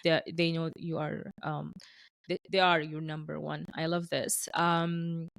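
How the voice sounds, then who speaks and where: A woman's voice: sits low, level tone, unhurried, creaky, no room sound. Chatty woman host, Arabic first language. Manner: warm encouraging interjections, laughs often, restarts. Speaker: podcast host. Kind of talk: casual conversational interview.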